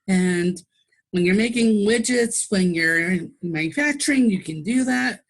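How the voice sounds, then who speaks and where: A woman's voice: medium pitch, measured pace. A woman in a conversational interview, a nurse explaining her views in an emphatic, pointed way.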